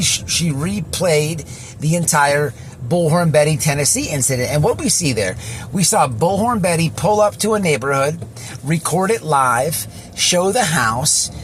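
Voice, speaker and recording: A man hosting casual, rambling online commentary, halting and repeating words, with slangy, sweary phrasing. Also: a little high, a little hiss or hum. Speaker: YouTube commentary host.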